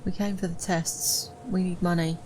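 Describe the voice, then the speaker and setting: A woman's voice: fairly low pitch, level tone, normal speed, a little mellow, natural voice. A woman acting a determined, protective, poor young woman in an audio drama. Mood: wary. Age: young adult.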